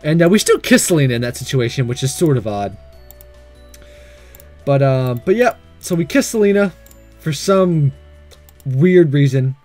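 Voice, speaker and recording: Goofy guy doing a lets play, highish voice, super up and down, jokey n theatrical, bit of music. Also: expressive, normal speed, pauses often, a little mellow. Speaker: gaming video host reading and reacting. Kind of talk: casual let's-play commentary.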